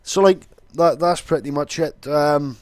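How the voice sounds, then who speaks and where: A man's voice: on the high side, measured pace, a little mellow, dry recording. A young man with a Welsh accent, casually explaining in a rambling, sweary way with lots of fillers. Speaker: vlogger.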